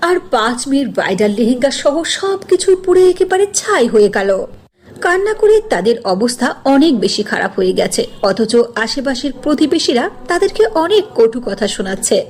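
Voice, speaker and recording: A woman tells a cartoon story as narrator. Her voice is fairly high and very expressive, in a scripted dramatic style. Faint noise and ambience sit behind her.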